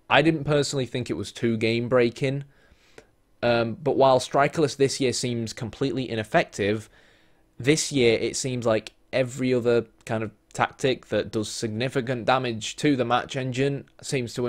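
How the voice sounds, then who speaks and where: Guy commentating his football manager save, voice going a bit, chatty with lots of ums. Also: mid-range voice. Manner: chatty, thinks aloud with many fillers, bursts into excited play-by-play. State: losing his voice. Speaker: gaming YouTuber.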